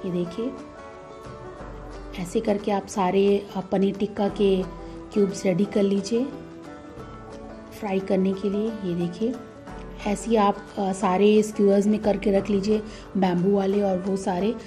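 A woman giving calm, step-by-step cooking instructions as a voice-over, with frequent "uh" fillers. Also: medium pitch, measured pace, long pauses, soft background music. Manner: calm, instructive, many "uh" fillers, mixes English words into Hindi. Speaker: cooking channel host. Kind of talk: recipe voice-over while cooking.

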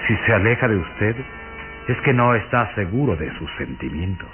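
Sinister courtly count played by a man, insinuating, swooping low delivery, muffled old radio serial sound. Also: animated, moderate pace, faint music behind, close to an everyday voice. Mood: seductive, intense. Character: pale suave count, intense, scheming suitor.

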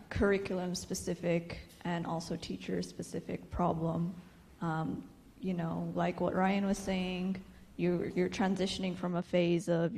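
Panel physicist, woman, lowish gravelly voice, thoughtful answers. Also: a little mellow. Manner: thoughtful, filler-heavy. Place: lecture hall.

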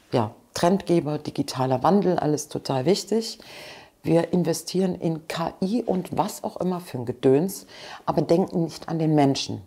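Woman in her forties, interview guest: low, gravelly voice, frank and passionate, restarts mid-sentence, mellow husky tone. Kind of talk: earnest interview answers. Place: living room with bookshelves, seated on sofa.

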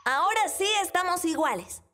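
A woman voicing an earnest little beaver boy in a children's cartoon dub, emphatic, precise and quick to protest. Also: very high voice, expressive, sharp tone, faint music behind, heavily acted. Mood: indignant.

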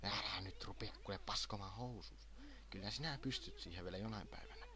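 A man voicing a brash, foul-mouthed little boy in an amateur anime fan dub, blurting excitably in slang. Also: on the low side. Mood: agitated.

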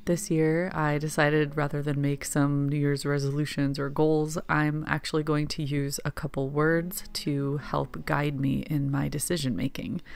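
A woman, a landscape painter, calmly narrating a reflective voice-over for her painting video. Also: deep voice, vocal fry.

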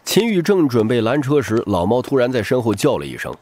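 A man's voice: mid-range voice, sing-song, a little fast, darkish tone. A man reading a novel aloud as a scripted audiobook narrator.

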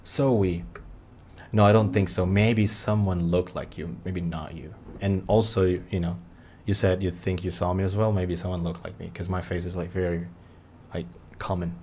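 A man in his twenties from Indonesia, hosting a casual live video chat with strangers in a small room, relaxed and joking. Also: moderate pace, slight background noise. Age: 20s. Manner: relaxed, joking, low-key, trails off quietly. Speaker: livestream host. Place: small room with shelves and gaming chair.

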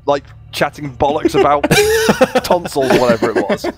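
A man's voice: a little high, sing-song, moderate pace, creaky, breathy edge, soft background music. A young man gaming with friends, sarcastic and exasperated, swearing now and then. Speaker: gamer streamer. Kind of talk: casual group gaming chat.

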